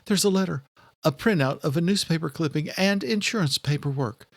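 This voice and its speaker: A man's voice: fairly quick, no echo. A man voicing a distraught, determined younger sister in an audiobook, pleading and insistent. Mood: anxious. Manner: pleading, insistent, higher-voiced. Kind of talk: audiobook character acting.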